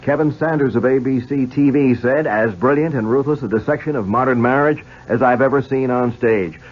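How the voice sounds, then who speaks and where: American guy doing old radio ad, raspy husky voice, tinny narrow sound. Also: medium pitch, a little fast. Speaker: commercial announcer. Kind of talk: scripted 1970s radio ad read.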